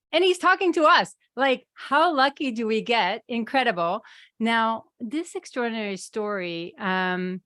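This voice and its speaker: Effusive woman host, somewhat high voice, dry close-mic recording. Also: a bit bright, no echo. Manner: enthusiastic, effusive. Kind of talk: podcast interview.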